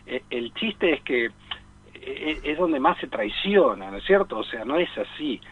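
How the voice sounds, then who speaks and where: A man in a reflective radio discussion, speaking haltingly, repeating himself and often adding tag questions. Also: on the high side, big pitch swings, breathy edge, narrow, tinny audio. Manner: halting, repetitive, peppered with rhetorical tag questions. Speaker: radio talk co-host discussing psychoanalysis.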